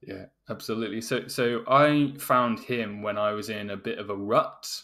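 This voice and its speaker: A man's voice: fairly low pitch, steady tone, moderate pace, bright, forceful tone. A man hosting a podcast, introducing and interviewing in a conversational style. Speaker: podcast host.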